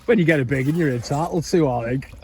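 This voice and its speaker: A man's voice: on the high side, fast talker, dark tone, a little hiss or hum. A British man presenting an angling video outdoors with casual, matey, informal commentary. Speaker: angler presenting a fishing video. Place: outdoors by a fishing lake.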